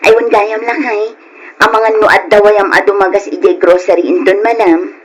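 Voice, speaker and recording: A woman's voice: mid-range voice, fairly even intonation, normal speed, husky, lightly acted, low-quality recording. An actress playing a modest young wife and mother in a radio drama, pleading and hesitant, with a Filipino accent. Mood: pleading, coaxing. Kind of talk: Ilocano radio drama acting.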